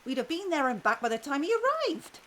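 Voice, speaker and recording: A woman playing a spirited, teasing older grandmother with a brisk, bossy manner in an audio drama. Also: high voice, big pitch swings, a bit bright. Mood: brisk, fond.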